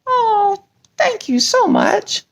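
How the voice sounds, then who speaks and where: A woman voicing a shy, polite young female dragon puppet who reads haltingly like a beginner. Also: very high voice, big pitch swings, creaky, pitched above the performer's own voice, full character voice. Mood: grateful, eager. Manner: halting, stumbles sounding out words.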